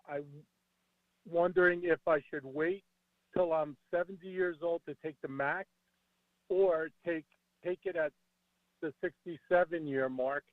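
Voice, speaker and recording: A man in his sixties calls in over a thin, dry phone line. He speaks hesitantly, pausing often to find his words, and his voice sits fairly high. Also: no echo. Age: 60s. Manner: hesitant, halting with fillers. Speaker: caller. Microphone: telephone.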